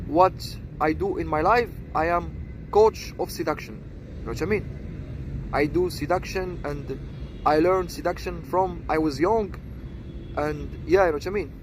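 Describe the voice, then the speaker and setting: A Moroccan man in his early thirties speaks in a high-pitched voice. He rambles vlog-style in short, halting phrases, checking again and again that he is understood. Clear street-like noise runs behind him. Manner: halting short phrases with constant repeated tag questions. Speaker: seduction coach introducing own channel.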